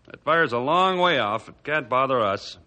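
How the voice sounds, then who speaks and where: A man's voice: mid-range voice, animated, moderate pace, lightly acted. A man playing a complacent American small-town mayor in a radio drama, folksy and dismissive. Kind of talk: radio adventure drama acting.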